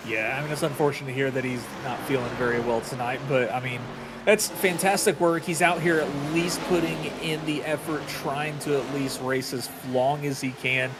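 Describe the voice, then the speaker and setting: A man with a medium-pitched voice offers conversational race analysis. He is the co-commentator on a motorsport broadcast. Loud car engine noise sits behind him throughout. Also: steady tone, normal speed. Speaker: racing co-commentator.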